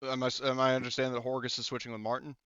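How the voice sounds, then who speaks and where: A man's voice: mid-range voice, flat delivery, rapid-fire, pushed and bright, clean tone, dry recording. A man casually refereeing a tabletop roleplaying game as game master. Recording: slightly dull, lo-fi voice-chat audio.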